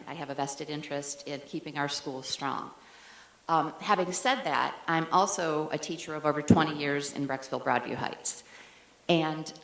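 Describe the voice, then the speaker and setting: A woman in her fifties speaks to a school board with earnest, measured care, her low voice set in an echoey room. She pauses on small fillers as she lays out her point. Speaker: community member asking a question. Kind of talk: public comment at a meeting. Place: large meeting room.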